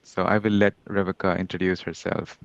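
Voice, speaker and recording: A man with a very low voice hosts a casual podcast interview, his phrasing friendly and a little non-native. The sound is dry and slightly muffled, with little room in it. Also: flat delivery, a little fast, creaky, no echo. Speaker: podcast host.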